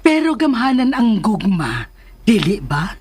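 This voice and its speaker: A woman's voice: fairly high pitch, very animated, measured pace, darkish tone, breathy edge, full character voice. A woman with a Filipino accent playing a supportive, probing mother of a grown son in a radio drama. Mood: probing, encouraging. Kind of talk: Philippine radio drama acting. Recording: faint noise and ambience.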